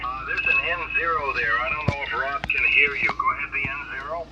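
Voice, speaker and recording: Muffled man over very noisy shortwave radio. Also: medium pitch. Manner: halting, repeats phrases. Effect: heard over shortwave radio. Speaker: amateur radio operator. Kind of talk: radio contact chat, repeating signal reports.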